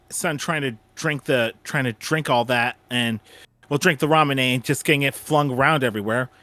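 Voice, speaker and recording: A man's voice: fairly high pitch, sharp tone, no room sound. A man hosting a casual chat, stammering and repeating words as he explains. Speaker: audio drama creator and host.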